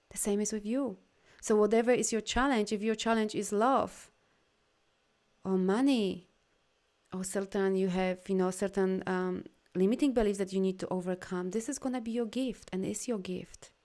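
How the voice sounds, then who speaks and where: A woman's voice: moderate pace, dark tone. A woman with a Polish accent, an energy healer and coach, talking live to her viewers and answering comments in a heartfelt, affirming way. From Poland. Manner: heartfelt, affirming, rises in pitch and volume when emphatic.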